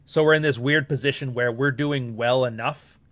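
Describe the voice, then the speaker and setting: A man's voice: medium pitch, fairly even intonation, normal speed, a bit bright. A middle-aged Canadian man with a Canadian accent hosting a casual live stream, talking bluntly with frequent swearing and rambling asides. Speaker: livestream host.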